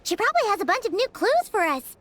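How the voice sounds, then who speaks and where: A woman voicing a tiny childlike fairy companion in a video game, chirpy and eager with a squeaky voice. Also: big pitch swings, fast talker, a bit bright, slight background noise, heavily acted. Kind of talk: animated video game acting.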